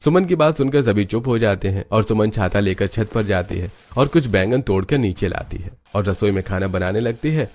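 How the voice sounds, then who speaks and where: A man's voice: fairly low pitch, animated, fairly quick, few pauses, a little mellow. A man narrating a scripted moral story, with noise in the recording.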